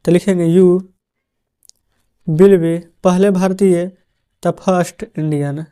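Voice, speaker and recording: Slow, mellow-voiced male teacher. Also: sits high, fairly even intonation, clear, resonant tone. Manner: dictates the answers word by word, addresses viewers as friends. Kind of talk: dictating a translation lesson.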